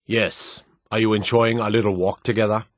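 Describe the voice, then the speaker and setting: An actor playing a stern, dutiful fantasy guard and soldier in a video game, formal and commanding, with measured delivery. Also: male voice, fairly low pitch, little pitch movement, dry recording, studio quality, close to an everyday voice. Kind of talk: scripted fantasy game dialogue.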